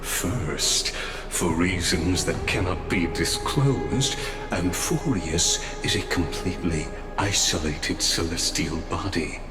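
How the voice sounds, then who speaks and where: An ancient, calculating robot watcher in a video game. He speaks very low and unhurried, with formal, measured courtesy that stays firm. The voice echoes, and music plays clearly behind it. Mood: calm, calculating. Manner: formal, measured, unfailingly polite. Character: courteous, calculating male robot watcher, long-lived gatekeeper. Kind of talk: scripted video game voice acting. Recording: echoing voice over clear background music.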